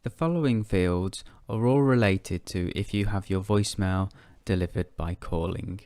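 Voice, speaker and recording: A man presenting a software tutorial step by step in a measured, methodical way. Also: deep voice, clear, resonant tone, no echo.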